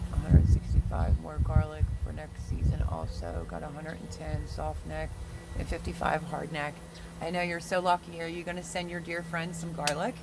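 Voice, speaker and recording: A casual gardening host on a livestream, reading viewer comments aloud and joking with them. The voice sits at a middling pitch. A steady hiss and passing traffic run under the talk. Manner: chatty and teasing, reads viewer comments aloud.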